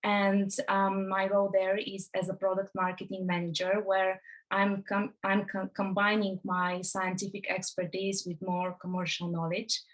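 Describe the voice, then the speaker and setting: A young woman from Bulgaria speaks in a level, flat tone, stopping often on ums as she introduces herself. She is a panelist on a video call. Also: mid-range voice, moderate pace, rough recording. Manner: hesitant, many ums.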